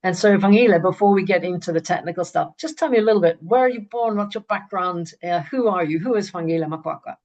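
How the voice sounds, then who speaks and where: Host, woman from Zambia, slightly low voice, eager, stumbles and restarts often. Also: darkish tone, dull audio. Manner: halting, many restarts, enthusiastic. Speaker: webinar host. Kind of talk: hosting an online webinar.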